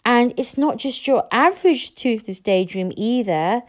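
Woman playing storybook boy, earnest, solemn, bluffing expert, raised pitch, deliberate pace. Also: animated. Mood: solemn, carried away.

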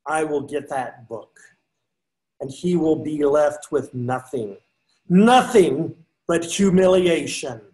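A man's voice: fairly high pitch, expressive, slow, darkish tone, rough recording. An older man playing a devout, stubborn friar in amateur readers theater, with bold, declamatory archaic speech. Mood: determined. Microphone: computer mic on a video call.

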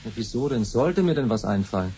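An actor playing a sullen, defiant young adult son in a 1970s German radio play, flippant and offhand. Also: male voice, mid-range voice, lively intonation, fairly quick, over loud music, lightly acted. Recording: noisy old radio play recording.

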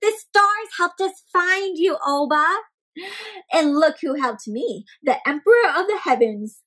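Woman voicing an eager, high-pitched little storybook girl. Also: animated, unhurried, fairly bright tone, low-quality recording. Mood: earnest, excited. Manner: exclaiming, eager. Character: excitable, eager little girl in a picture book.

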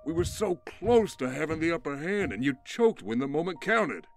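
An actor playing a blunt, exasperated vigilante's teammate in an audio drama, forceful and accusing. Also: male voice, a little high, very animated, moderate pace, gravelly, faint music behind. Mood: angry, accusing.

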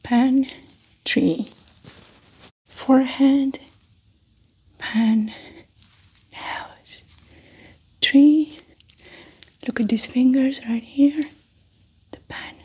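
A woman doing a soft-spoken ASMR medical roleplay as a doctor, often whispering, with gentle, reassuring step-by-step instructions. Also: sits high, steady tone, drawn-out words, long pauses, breathy edge. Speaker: ASMR performer playing a doctor.

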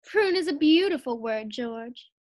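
Casting: an actress as a coy, scatterbrained young wife for a 1940s radio sitcom, high voice. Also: female voice, expressive, unhurried, darkish tone. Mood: teasing. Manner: teasing, coy.

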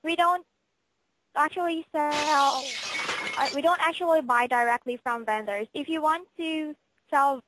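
A woman with a high voice takes a customer service call. She sounds polite and formal. Her phrasing follows a careful service script. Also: measured pace, noisy background.

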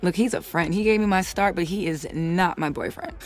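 A woman's voice: fairly low pitch, fairly quick, lightly acted. A woman playing a sassy young singer. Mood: playful. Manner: playful, sassy.

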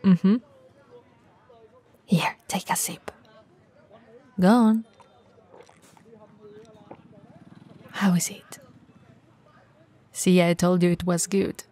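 Lady playing tall teasing shop girl, asmr roleplay, real relaxed n breathy, takes her time, friendly. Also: lowish voice, slow pace, pauses often, no echo. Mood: friendly, inviting.

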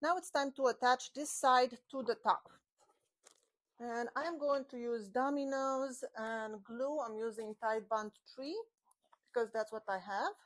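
A woman's voice: a little high, measured pace, a bit bright. Chatty woman teaching in a workshop. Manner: chatty, explains while working with her hands. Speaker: woodworking channel host. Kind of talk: step-by-step workshop tutorial. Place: home woodworking shop with open garage door. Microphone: small clip-on mic on her shirt.

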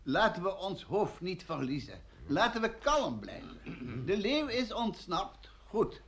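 Emphatic, excitable village man, high and lively, for radio drama. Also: slow pace, gravelly, heavily acted. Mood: self-assured. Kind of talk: Dutch radio play acting.

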